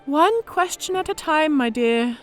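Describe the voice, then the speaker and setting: A woman's voice: high-pitched, animated, measured pace, faint music behind. A woman playing a smug female orc centurion in an audio drama, speaking with mock-sweet politeness and cool menace. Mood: probing, menacing.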